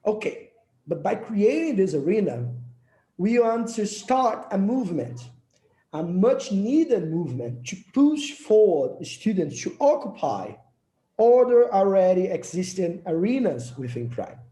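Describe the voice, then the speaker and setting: Young Brazilian-accented man, earnest session presenter, mellow voice, slightly raised pitch. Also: very animated, unhurried, reverberant, low-quality recording. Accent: Brazilian Portuguese. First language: Portuguese. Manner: earnest, with small slips and restarts. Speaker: project leader presenting a session. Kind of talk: prepared presentation speech.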